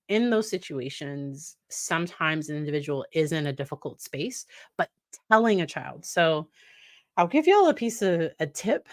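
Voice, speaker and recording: A woman in her thirties with a naturally deep, low voice talks like a psychologist explaining things to parents. Her delivery is conversational and easy. The sound is dry and close. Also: no echo. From United States. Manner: conversational, warm laughs, acts out quoted dialogue in a higher voice. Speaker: licensed psychologist, host. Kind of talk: casual educational talk to camera.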